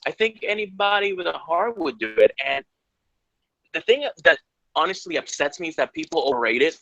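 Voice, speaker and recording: A man's voice: fairly high pitch, very animated, fairly quick, pushed and bright. Earnest man talking about comics. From Ecuador. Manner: earnest, rambling, lots of restarts. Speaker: interviewee. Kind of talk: casual interview chat. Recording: dull lo-fi sound.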